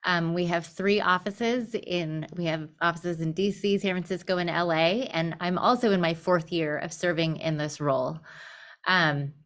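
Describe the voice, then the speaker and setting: A woman's voice: fairly low pitch, moderate pace, fairly bright tone. A middle-aged woman from Southern California, a law firm leader, telling her life story candidly with light humor. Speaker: guest, law firm managing partner. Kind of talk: personal storytelling in interview.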